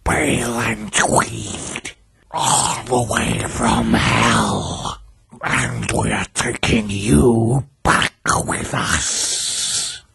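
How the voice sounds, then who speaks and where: A vengeful spirit from beyond the grave for an audiobook thriller. It hisses in a breathy rasp, drawing out each word very slowly and with menace. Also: male voice, mid-range voice, steady tone, pushed and bright, full character voice. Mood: menacing. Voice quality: strange hissing, breathy rasp. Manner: slow, drawn-out menace. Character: hissing vengeful dead spirits speaking through a man.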